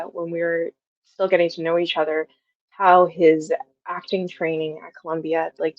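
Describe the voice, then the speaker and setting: Young woman, low voice, dull lo-fi sound. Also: no echo. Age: 20s. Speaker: guest, audio drama writer. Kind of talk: casual interview chat.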